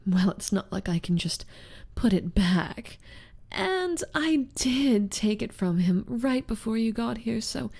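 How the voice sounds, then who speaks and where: A young woman playing a sly, teasing rival succubus in an ASMR audio roleplay, with a breathy, drawling, taunting delivery. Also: fairly high pitch, lively intonation, takes it slowly, a little mellow, exaggerated voice. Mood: smug, coaxing. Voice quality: breathy, half-whispered in places.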